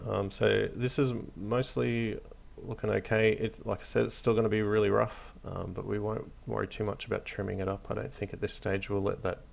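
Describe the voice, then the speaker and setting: A man hosting a hobby tutorial, narrating casually off the cuff in a hesitant, low-key, encouraging way. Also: little pitch movement, normal speed, gravelly, hardly any pauses. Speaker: hobby craft tutorial host.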